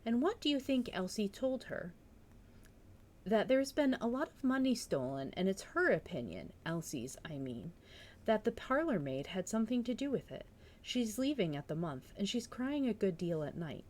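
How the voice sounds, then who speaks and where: A woman's voice: mid-range voice, big pitch swings, normal speed, darkish tone, voice pushed up. A woman voicing a gossipy village spinster in a storybook read-aloud, chatty and insinuating as she eagerly passes on tales.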